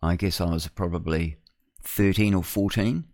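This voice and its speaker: A man's voice: low voice, normal speed, darkish tone, no echo, studio quality. A man in his sixties with a New Zealand accent, delivering a rambling, conversational opinion monologue as a radio host. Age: 60s. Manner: rambling, digressive, conversational with rhetorical tag questions.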